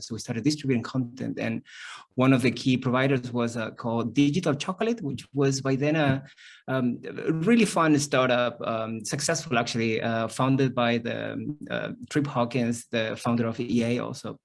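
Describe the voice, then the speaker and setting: A middle-aged man from Chile, a native Spanish speaker with a Chilean accent, lively and storytelling as a company CEO in a call interview. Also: mid-range voice. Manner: lively, storytelling, frequent restarts. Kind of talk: business interview on a video call.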